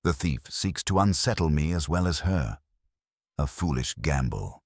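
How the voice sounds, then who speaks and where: A man voicing an imperious, stern male detective in an audiobook, speaking slowly, precisely and formally. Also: rumbling low, expressive, a little mellow, breathy edge, no echo, clean studio sound, full character voice. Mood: stern, deliberate. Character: imperious middle-aged male genius detective, stern and deliberate.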